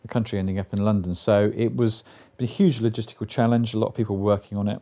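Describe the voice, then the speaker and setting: A man with a low, mellow voice gives a documentary interview. He talks plainly and thoughtfully and restarts now and then. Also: steady tone, fast talker.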